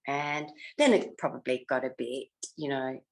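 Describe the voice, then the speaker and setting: An older woman, a former television actress, reminiscing in an interview in an effusive way, often pausing to search her memory. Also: extremely low pitch, vocal fry.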